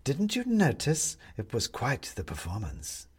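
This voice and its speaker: A man's voice: medium pitch, huge pitch swings, unhurried, husky, heavily acted. A man voicing a haughty, sly cat in an audiobook, drawling with disdain and contempt for humans. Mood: smug disdain. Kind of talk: audiobook character voice.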